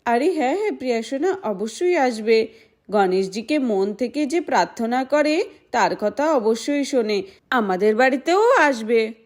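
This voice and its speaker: A woman's voice: on the high side, animated, unhurried. A woman voicing a kind, poor village woman in a doll story, with a caring manner. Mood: reassuring. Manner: gentle, reassuring, caring.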